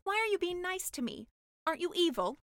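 Woman acting young mermaid, high-pitched, guileless, eager, impatient, perky, comic audiobook fairy tale, dry sound. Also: very animated, brisk, a bit bright, no echo, exaggerated voice. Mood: puzzled, wary.

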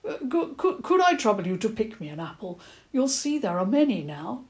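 A woman voicing a gentle, courteous old male badger who stammers a little. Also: fairly high pitch, very animated, moderate pace, pitched above the performer's own voice. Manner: stammering, courteous, slightly eccentric.

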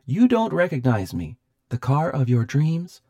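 A man voicing an evil, playful smart-car computer with a high techno voice that chimes and taunts in an audiobook story. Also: sing-song, measured pace, mellow, no room sound. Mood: taunting. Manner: chiming, eerily playful, menacing.

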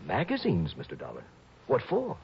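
An actor playing an anxious middle-aged stockbroker who is being blackmailed, fretful, pleading and refined, in a 1960s radio drama. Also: male voice, fairly low pitch, wildly swooping pitch, moderate pace, gravelly, dull audio, slight background noise, full character voice.

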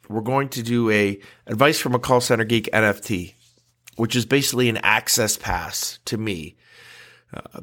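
A man's voice: medium pitch, a bit bright. Male podcast host with a head cold, nasal, chatty, lots of "uh"s and restarts. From United States. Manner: conversational, frequent fillers and restarts. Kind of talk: casual podcast talk.

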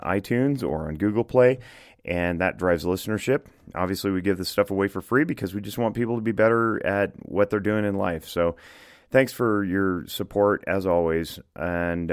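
Male solo podcast host, extremely low and resonant, slightly scratchy from smoke, halting with lots of fillers. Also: clear, resonant tone, no echo, studio quality. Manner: hesitant, many fillers and restarts. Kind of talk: casual solo podcast talk. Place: home studio in the Reno area.

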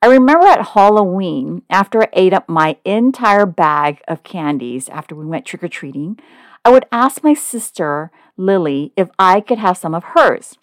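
Woman in her forties, podcast host, upbeat and chatty, lively swooping pitch, dry close sound. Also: moderate pace, no echo. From Oakland, California. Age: 40s. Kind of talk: scripted podcast monologue.

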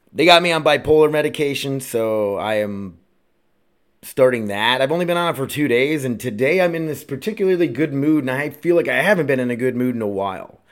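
A man in his late thirties talks through his own podcast alone. His voice sits in an ordinary middle pitch. He wanders from thought to thought, restarts his sentences and drops curse words casually. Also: expressive, normal speed. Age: 30s. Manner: rambling, profane, self-interrupting. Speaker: podcast host.

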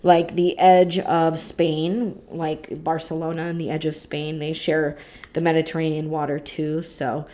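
A woman's voice: sits low, level tone, measured pace, mellow. A young woman from the United States co-hosting a podcast, chatting at length in a talkative way full of fillers. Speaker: podcast co-host. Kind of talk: casual chat.

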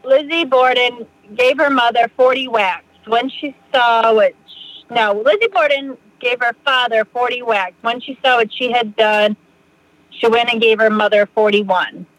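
A middle-aged woman from Massachusetts, a podcast guest rambling through a personal story with many fillers and quick laughs. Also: on the high side, a bit bright, no echo, rough recording. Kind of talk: casual storytelling in an interview.

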